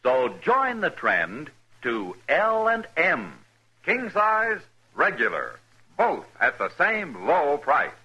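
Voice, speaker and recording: Very animated vintage radio ad man. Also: a little high, slow, highs cut off, faint music behind. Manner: emphatic salesman delivery. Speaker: cigarette ad announcer. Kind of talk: 1950s radio commercial pitch.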